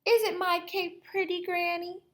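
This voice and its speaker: A woman's voice: very high-pitched, level tone, a little slow, a bit bright, pitched above the performer's own voice. A woman voicing a vain, boastful young girl who admires herself, in a storybook read-aloud. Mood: smug, preening.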